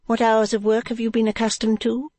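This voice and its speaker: A reader putting on a high-voiced, haughty genteel madame. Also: level tone, brisk, darkish tone, no room sound, close to an everyday voice. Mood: businesslike. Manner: scolding, imperious, genteel. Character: haughty, brisk businesswoman wife, affectedly genteel. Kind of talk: classic novel audiobook character.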